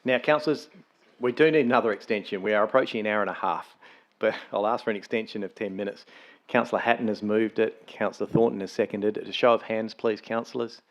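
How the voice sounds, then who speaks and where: An Australian man chairing a council meeting as mayor, measured, procedural and polite. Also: fairly low pitch, lo-fi sound.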